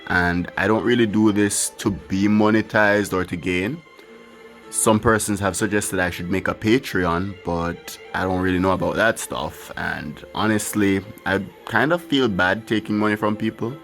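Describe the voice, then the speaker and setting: Low-voiced man rambling over gameplay. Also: moderate pace, fairly bright tone. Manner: rambling, candid, swears casually. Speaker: gaming channel host. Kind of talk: casual gameplay commentary. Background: his own music playing faintly.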